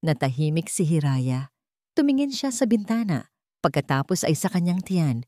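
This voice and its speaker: A woman narrates a melodramatic story as a scripted storyteller. Her voice sits fairly low, and the sound is dry with little room in it. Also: sing-song, moderate pace, warm tone, no echo.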